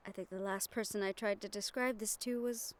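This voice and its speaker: A woman playing a gentle, earnest young magic-wielder in an audio roleplay, soft-spoken and measured with thoughtful pauses. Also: mid-range voice, fairly even intonation, lightly acted. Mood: gently exasperated. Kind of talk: audio roleplay acting, addressed to the listener. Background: ambient sound effects.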